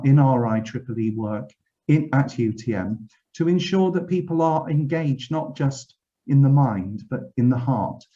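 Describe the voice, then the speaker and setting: A man's voice: medium pitch, warm tone, muffled recording. A man from Wales with a Welsh accent, giving an earnest, reflective webinar address as a university director general, often restarting his phrases. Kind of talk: formal speech. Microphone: video call.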